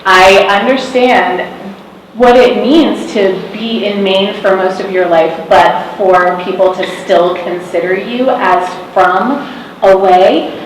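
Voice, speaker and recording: A woman's voice: medium pitch, slow, a little hiss or hum. A woman moderating a panel in a school hall, asking questions in a thoughtful, hesitant way. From Massachusetts, USA. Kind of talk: panel discussion. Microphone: distant room mic. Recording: echoey hall from far off.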